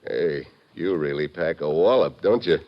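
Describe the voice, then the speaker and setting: Hard-boiled agent, very deep voice, wry, laconic, old radio drama, dull lo-fi sound. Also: male voice, moderate pace, slight background noise. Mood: wry, probing. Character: tough, wry American male special agent posing as newspaperman. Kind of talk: 1950s radio drama acting. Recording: dull, muffled vintage radio recording.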